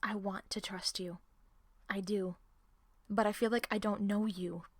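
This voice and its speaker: A woman acts out a guilt-ridden young woman in an audio roleplay. She speaks slowly and haltingly in a dry, close recording, full of feeling and ready to swear. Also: medium pitch, steady tone, no room sound, lightly acted. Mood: quiet, earnest, guilty. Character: distressed, guilt-ridden young woman, foul-mouthed, demanding honesty. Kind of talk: audio roleplay drama acting.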